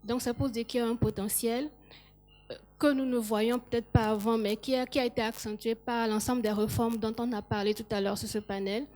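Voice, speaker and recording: A woman on a business panel giving measured, factual remarks on cross-border trade. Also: a little high, steady tone, darkish tone. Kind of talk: panel discussion.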